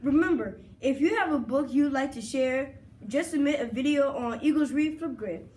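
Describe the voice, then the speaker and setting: High-voiced girl reading a school broadcast. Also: moderate pace, darkish tone, slight background noise. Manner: reads a script aloud. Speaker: student news anchor.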